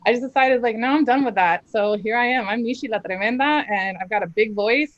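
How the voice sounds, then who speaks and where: A woman's voice: on the high side, a little fast. A young adult woman, a dramatic soprano, talking candidly and emphatically in an interview, laughing often. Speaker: opera singer, dramatic soprano. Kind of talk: casual interview talk. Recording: slightly dull live stream audio.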